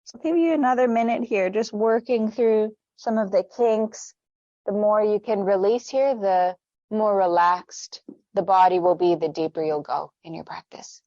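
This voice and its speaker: A woman leading a guided meditation with stretches, speaking in a gentle, soothing, unhurried manner. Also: mid-range voice, no echo, highs cut off.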